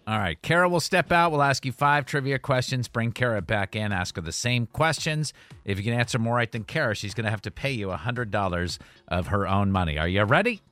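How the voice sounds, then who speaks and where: A man's voice: medium pitch, lively intonation, fairly quick, pushed and bright, no room sound. A man hosting an upbeat radio phone-in, chatting with a bantering, teasing manner. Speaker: radio show host.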